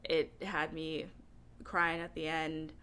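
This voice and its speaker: A woman talking casually to camera as a book vlogger, with an animated, emphatic way of speaking. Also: low voice, slow pace, slight background noise.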